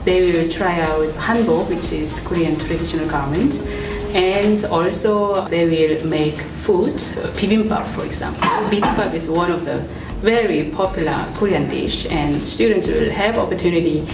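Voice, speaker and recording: A woman with a slightly low voice, speaking steadily about her course with only an occasional filler. The room echoes and she sits back from the mic. Music plays softly behind her. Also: moderate pace, distant mic. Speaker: course instructor. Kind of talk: promotional interview.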